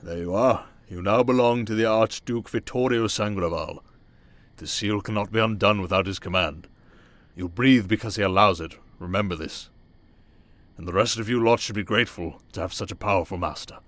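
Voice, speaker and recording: A man portrays a cold, imposing vampire duke in a fantasy audio drama. His low voice is stern and formal, giving curt commands. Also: moderate pace, fairly bright tone, close to an everyday voice. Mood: formal, menacing.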